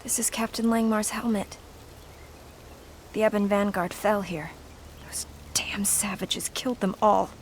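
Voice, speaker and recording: A woman's voice: mid-range voice, steady tone, a little slow, pauses often, slight background noise, lightly acted. A woman playing a fierce, bitter young human soldier in a fantasy game, intense and clipped. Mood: bitter, grieving.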